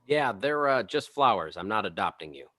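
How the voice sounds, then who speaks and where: A man's voice: lowish voice, sing-song, normal speed, fairly bright tone. A man playing a wry, exasperated middle-aged dad, dry and sarcastic, in a comedy table read. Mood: encouraging, wry.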